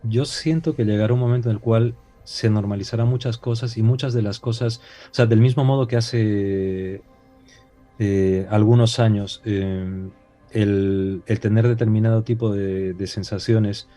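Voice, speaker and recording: A man's voice: on the low side, steady tone, a little slow, mellow, faint music behind. A man from Peru with a Peruvian Spanish accent, a talk-show guest speaking in a reflective way with many hesitations and rhetorical questions. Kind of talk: casual talk-show discussion.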